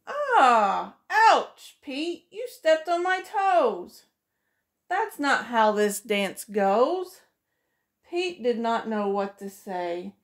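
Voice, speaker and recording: A woman's voice: on the high side, extremely slow. Sing-song woman reading a picture book. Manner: sing-song storybook reading with lively voiced characters. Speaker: preschool teacher. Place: small room indoors.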